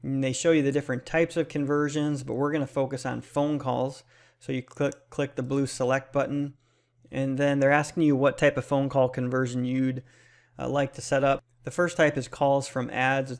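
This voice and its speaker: A man's voice: medium pitch, flat delivery, normal speed. A man hosting a casual explanatory tutorial, speaking in a plain, step-by-step instructional way. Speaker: tutorial host.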